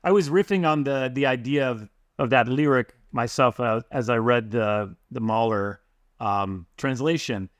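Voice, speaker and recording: A man's voice: mid-range voice, unhurried, no room sound, studio quality. A man co-hosting a podcast, reading a scripted introduction and then chatting loosely with fillers. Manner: reads the intro crisply, then chats with fillers. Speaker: podcast co-host.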